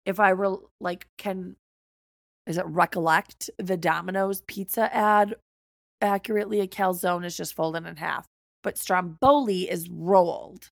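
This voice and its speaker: A woman's voice: on the low side, steady tone, unhurried, gravelly, no echo, clean studio sound. An American woman co-hosting a podcast in casual chat, with a blunt, teasing, slangy way of talking. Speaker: podcast co-host.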